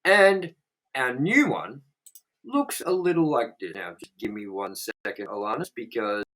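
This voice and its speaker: A man's voice: medium pitch, animated, unhurried, pushed and bright, rough recording. A man hosting a casual movie review show, jokey and loose, swearing freely. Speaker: show host.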